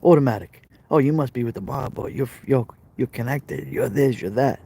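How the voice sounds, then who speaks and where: Mellow-voiced Brooklyn man chatting live. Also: mid-range voice, lively intonation, normal speed, vocal fry, husky. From Brooklyn, New York. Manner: chatty, repeats phrases, talks straight to viewers. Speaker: live stream host. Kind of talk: casual live stream chat reading comments.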